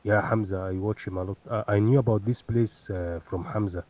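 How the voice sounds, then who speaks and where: Man from Egypt, deep and mellow, halting casual talk, noisy faulty mic. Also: steady tone. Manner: hesitant, halting, with many fillers. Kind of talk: casual chat.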